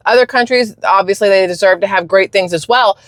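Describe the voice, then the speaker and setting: A woman from the United States hosting her channel, delivering an emphatic, indignant opinion rant with quick bursts of speech. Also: medium pitch, fairly bright tone, dry recording. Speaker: channel host.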